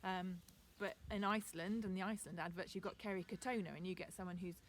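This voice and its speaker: Relaxed teacher woman with RP accent. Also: on the low side, fast, slight background noise. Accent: received pronunciation. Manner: chatty, relaxed, frequent ums. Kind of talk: informal video lesson. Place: garden outdoors.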